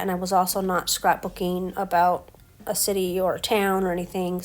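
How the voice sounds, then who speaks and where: A middle-aged woman with a stuffy head cold, talking through her scrapbook layout step by step in a relaxed voice-over. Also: mid-range voice. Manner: relaxed, explains each step as she works. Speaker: scrapbooking crafter. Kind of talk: casual craft voice-over.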